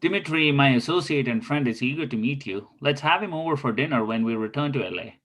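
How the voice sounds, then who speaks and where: A man's voice: mid-range voice, fast, fairly bright tone, natural voice. Man playing a courtly, lovestruck spy. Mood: earnest. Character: earnest thirty-something male spy, courtly and lovestruck. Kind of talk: scripted table read.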